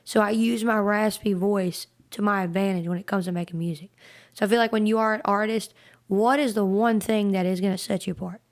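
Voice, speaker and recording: Raspy young Southern woman. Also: medium pitch, fairly even intonation, fairly quick. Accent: Southern US country. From North Carolina, US. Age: 20s. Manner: earnest, repeats words when starting. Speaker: guest singer. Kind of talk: casual interview chat.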